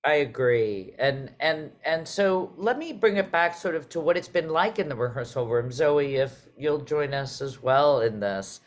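A woman's voice: deep voice, expressive, a little slow, hardly any pauses. Woman, middle-aged, casual host, self-interrupting, conversational, video call. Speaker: host, theatre artistic director. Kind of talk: casual hosted panel conversation. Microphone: video call microphone.

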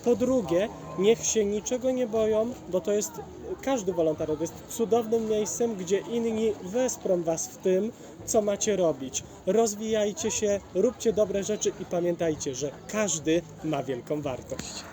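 A man's voice: high-pitched, unhurried, dark tone. A man giving fluent, encouraging advice as an interviewed organisation representative. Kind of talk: short encouraging advice to microphone. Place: busy outdoor fair. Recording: outdoor event with crowd noise.

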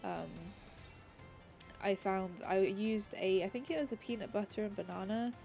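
A woman's voice: mid-range voice, moderate pace, creaky, dark tone, soft background music. Congested woman, rambling game chat. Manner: rambling, many ums and restarts. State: congested, slightly raspy with a cold. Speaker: gaming channel host. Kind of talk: casual gameplay commentary.

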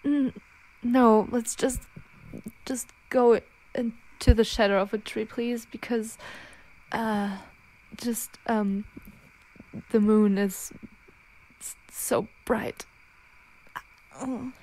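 A frightened young werewolf girlfriend, acted by a woman in an ASMR audio drama. Her voice is fairly high and she speaks very slowly, stammering and pleading as she tries to hold back her change. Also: steady tone, dark tone, low-quality recording. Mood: nervous, evasive.

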